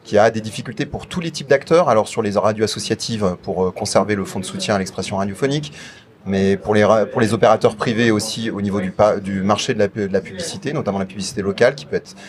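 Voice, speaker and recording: A man with a lowish voice conducts a live interview. His questions come out haltingly, with words repeated and restarted as he goes. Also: a crowd in the background.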